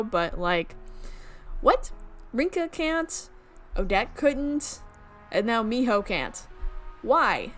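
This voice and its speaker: A young woman reading a visual novel aloud and tossing in casual, chatty comments and giggles. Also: on the high side. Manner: casual, chatty asides and giggles between narration. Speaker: let's play streamer.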